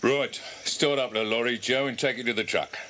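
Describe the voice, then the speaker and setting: A man playing a gruff, commanding boss of a robber gang in a children's audio drama. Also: mid-range voice, normal speed, natural voice. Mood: brisk, bossy. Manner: brusque, commanding. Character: gruff bossy adult crook, leader of a robber gang. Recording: very noisy old cassette recording.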